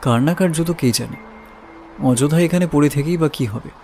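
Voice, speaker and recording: Man acting a higher-voiced, wary shopkeeper. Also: moderate pace, a little mellow, close to an everyday voice. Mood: casual, wary. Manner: defensive, colloquial. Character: wary, evasive male roadside shopkeeper. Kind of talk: audio story drama acting. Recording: music bed behind voice.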